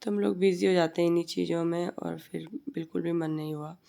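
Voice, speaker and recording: Woman in her 30s, throat-sore and husky, rambling chatty voice-over, dark mellow tone. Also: on the low side, steady tone, quick pace. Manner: chatty, rambling, repeats herself. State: hoarse, heavy voice from a sore throat. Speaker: family vlogger. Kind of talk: casual voice-over narration. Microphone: voice-over recorded separately from the footage.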